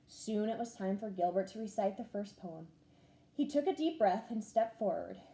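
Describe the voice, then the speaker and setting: A woman teacher reading a children's storybook aloud in an expressive, clearly paced way, acting out the dialogue. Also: mid-range voice, normal speed, poor audio.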